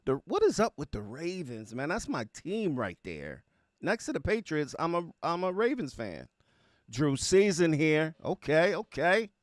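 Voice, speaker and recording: Animated man hosting a casual livestream. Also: a little high, unhurried, no room sound, clean studio sound. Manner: slangy, informal, addresses viewers by name. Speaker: livestream host of music reaction show. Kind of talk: casual livestream chat greeting viewers.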